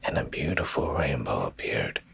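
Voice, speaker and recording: A man speaking in a soft, hushed, soothing ASMR style, reading slowly like a bedtime storyteller, close to the microphone. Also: very animated. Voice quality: very deep, gravelly, breathy near-whisper.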